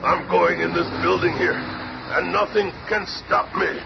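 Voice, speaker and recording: An actor playing a gruff, stubborn monster hero in a trance, blunt and gravelly, in a radio drama. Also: male voice, high-pitched, steady tone, measured pace, full character voice. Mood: defiant, gruff. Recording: noisy, muffled old radio broadcast.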